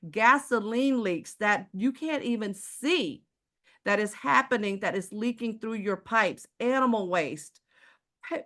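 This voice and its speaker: Persuasive Texan woman pitching a product. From Houston, Texas. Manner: persuasive, rhetorical questions, repeats "ladies and gentlemen". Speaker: product presenter. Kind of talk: sales presentation to camera.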